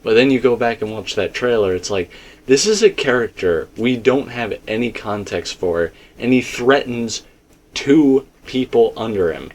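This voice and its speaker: A man's voice: mid-range voice, animated, moderate pace, darkish tone. A man talking casually and speculatively as a host, emphatic and rambling, often repeating himself. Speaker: video game theorist and host.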